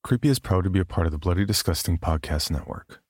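A man hosting a horror podcast, reading its notices and then narrating a scary story in a deliberate, ominous way. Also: low voice, lively intonation, no room sound, clean studio sound.

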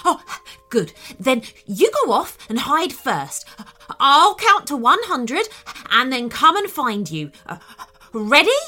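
A proud talking dog who brags about his champion title, hemming with little fillers, as a woman reads him aloud. The voice sits high and bright and moves slowly. Soft music plays underneath. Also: very animated, exaggerated voice. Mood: boastful. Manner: boastful, hesitant fillers.

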